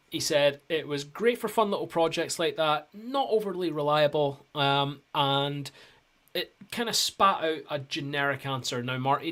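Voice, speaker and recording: A man's voice: a little high, fairly bright tone. A man co-hosting a hockey podcast, telling a story in a rambling, casual way with lots of ums and restarts. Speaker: podcast co-host. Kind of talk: casual chat.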